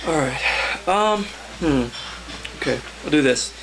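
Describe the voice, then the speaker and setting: A man's voice: fairly high pitch, lively intonation, takes it slowly, noisy background. A young man, a poet, talking casually and jokingly to listeners between readings. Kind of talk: casual introductions between poems. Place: indoor cafe reading before a small audience.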